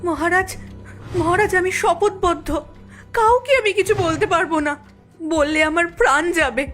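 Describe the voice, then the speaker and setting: A woman voicing a gentle, sorrowful young princess living as a servant in a cartoon fairy tale, plaintive and earnest. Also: very high voice, measured pace, soft background music.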